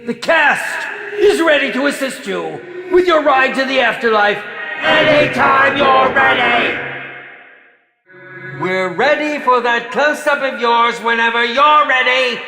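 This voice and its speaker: A man's voice: extremely high pitch, slow pace, heavily acted. A man playing a pompous, maniacal horror film director in a video game, theatrically exasperated and melodramatic. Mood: gleeful menace. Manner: pompous, melodramatic, swooping from sly to shrieking. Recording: echoey hall reverb with music behind.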